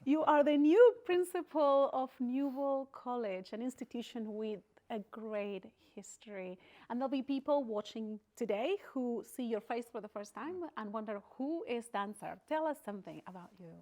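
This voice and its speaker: A woman with a somewhat high voice conducts the interview as a journalist. She asks her questions warmly and keeps affirming what her guest says. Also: lively intonation, normal speed. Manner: warm, encouraging, affirming.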